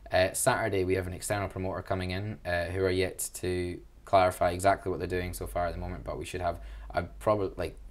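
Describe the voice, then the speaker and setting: A man's voice: low-pitched, level tone, fast talker, few pauses. A young man with a Glaswegian accent, chatting enthusiastically about student union events in an interview, with a self-deprecating humor. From Glasgow, Scotland. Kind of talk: casual interview answers.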